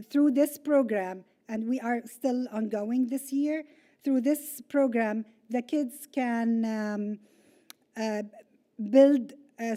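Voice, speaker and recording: Halting woman presenting to a room. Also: fairly high pitch, mellow. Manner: hesitant, many fillers and restarts. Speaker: school programs staff presenter. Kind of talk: presentation with slides. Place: meeting room.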